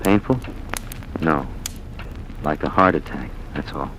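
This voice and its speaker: An actor playing a terse, insistent, scheming nephew in a 1940s radio drama, on a vintage recording. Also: male voice, extremely low pitch, measured pace, very noisy. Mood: cold, resolute. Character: cold, resolute scheming nephew, impatient with doubt.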